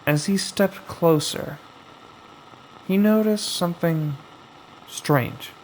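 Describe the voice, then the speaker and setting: A man narrating a scripted horror story in a dramatic way, with suspenseful pauses. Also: on the high side, a little hiss or hum, low-quality recording.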